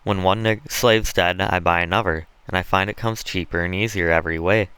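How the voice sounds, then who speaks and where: A man's voice: low voice, steady tone, brisk, fairly bright tone, smooth, no room sound. A man acting out a brutal, swaggering Southern slave owner. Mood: callous, matter-of-fact. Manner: blunt, folksy, swaggering dialect speech.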